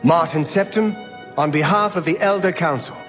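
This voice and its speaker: A man's voice: on the high side, normal speed, fairly bright tone, soft background music, lightly acted. A man playing a stately, commanding imperial chancellor in a video game, with formal, declamatory delivery. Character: stately, commanding male high chancellor of an empire. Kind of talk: video game dramatic dialogue. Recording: long hall-like reverb.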